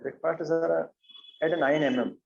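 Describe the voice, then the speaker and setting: A man with an Indian accent, Hindi his first language, speaks slow, hesitant English with polite replies. His voice sits fairly high with a gravelly edge. The call audio sounds muffled and lo-fi. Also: fairly even intonation, darkish tone. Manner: hesitant, polite, halting non-native English. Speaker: learner. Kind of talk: English practice conversation.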